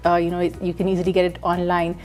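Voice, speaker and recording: Woman in her 30s from pakistan, soft-spoken guest, lowish voice, lots of ums. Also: monotone, rapid-fire, faint music behind. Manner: soft-spoken, warm, frequent ums and mm-hmms. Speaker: guest, entrepreneur. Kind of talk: casual interview.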